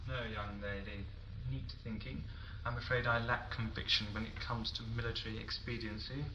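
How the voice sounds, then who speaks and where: A man playing a conscientious, quick-witted time-travelling adventurer in a science-fiction audio drama, with a wry manner. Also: fairly low pitch, level tone, dull audio, background noise, close to an everyday voice. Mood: resigned, brisk. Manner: wry, urgent.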